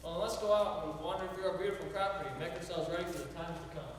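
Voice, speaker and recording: A man's voice: a little high, fast talker, a little hiss or hum, close to an everyday voice. An actor playing a scheming male ghost plotting revenge on the living, sly and conspiratorial. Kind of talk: stage play acting. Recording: echoey hall from far off.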